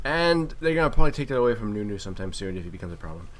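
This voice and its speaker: A man's voice: fairly low pitch, very animated, very fast, a bit bright. A man giving casual, wry game commentary with some mild swearing and trash talk. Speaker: gaming video commentator and player. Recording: clearly noisy home recording.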